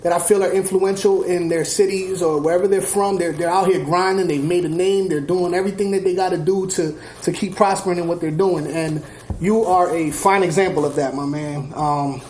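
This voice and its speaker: A man's voice: high voice, fairly even intonation, fairly quick, a little mellow. A man in his forties hosting a casual podcast interview, slangy and laid-back. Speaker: podcast host. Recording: echoey room with faint noise.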